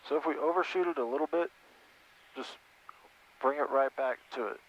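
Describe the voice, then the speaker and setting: A man's voice: medium pitch, moderate pace. A man working as a flight instructor, coaching casually and encouragingly over a headset in a small airplane cockpit. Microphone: aviation headset intercom. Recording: muffled cockpit intercom over engine noise.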